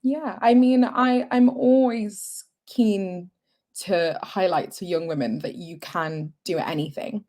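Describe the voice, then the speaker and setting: A woman's voice: mid-range voice, slow pace, dark tone. A young woman from Zimbabwe, answering interview questions in an earnest, upbeat and articulate way. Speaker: pageant contestant, guest.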